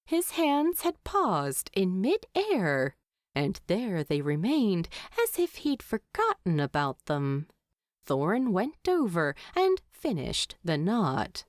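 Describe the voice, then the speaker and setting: A woman's voice: medium pitch, huge pitch swings, slow pace, no room sound, studio quality. A woman reading scripted fiction aloud as an audiobook narrator.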